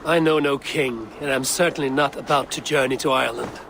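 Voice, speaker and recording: An actor playing a blunt, commanding Viking warrior in a video game, speaking in terse, direct, wary lines. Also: male voice, mid-range voice, fairly even intonation, fairly quick, creaky, background noise, natural voice. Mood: wary, dismissive. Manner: terse, direct, plain-spoken. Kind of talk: video game cutscene acting.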